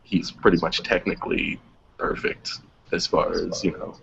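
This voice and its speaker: A man's voice: low-pitched, level tone, moderate pace, gravelly. A man hosting a casual discussion in an easy conversational style. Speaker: host moderating a music discussion. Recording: dull audio with faint background noise.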